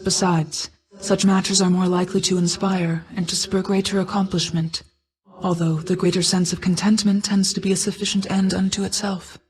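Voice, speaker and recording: A woman voicing a stately goddess of night in a video game, a solemn, guarded mother who speaks in a formal, measured, archaic manner. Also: medium pitch, flat delivery, a little mellow, lightly acted, low-quality recording. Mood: reflective, composed. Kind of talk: scripted game character lines.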